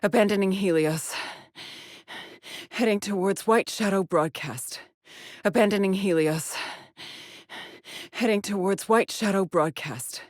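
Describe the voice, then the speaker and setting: An actress voicing a weary, driven middle-aged woman exploring space in a video game, with a husky, clipped and solemn delivery. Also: mid-range voice, measured pace, pauses often, no echo, clean studio sound, natural voice. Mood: focused, measured. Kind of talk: dramatic game voice lines, terse log entries.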